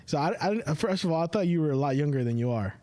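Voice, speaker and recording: A male podcast host in his thirties with a somewhat high voice. He interviews casually, with loose slang and swearing. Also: animated, fast talker, dark tone. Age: 30s.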